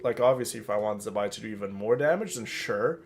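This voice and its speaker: A man's voice: on the low side, expressive, quick pace, slight background noise. Chatty male game streamer. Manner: chatty, familiar, addresses viewers directly. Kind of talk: casual live stream chat. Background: game music.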